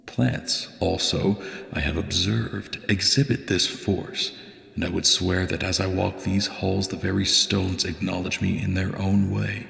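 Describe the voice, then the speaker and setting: A man playing a wistful, brooding inventor and scholar, reading a journal in a measured, archaic, poetic theatrical monologue. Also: deep voice, fairly even intonation, creaky, a bit bright, a little hiss or hum, exaggerated voice. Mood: contemplative. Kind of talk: theatrical dramatic monologue, fandub narration. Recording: echoey, reverberant voice-over.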